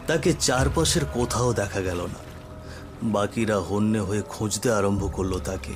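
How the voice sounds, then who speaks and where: A man's voice: on the low side, normal speed, faint music behind. A man giving dramatic scripted narration for a cartoon story.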